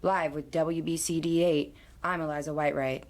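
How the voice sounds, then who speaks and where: An actress plays a composed female news anchor in an audio drama. Her voice is low and she delivers formal, scripted news reports. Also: fairly even intonation, moderate pace, natural voice. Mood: formal, urgent.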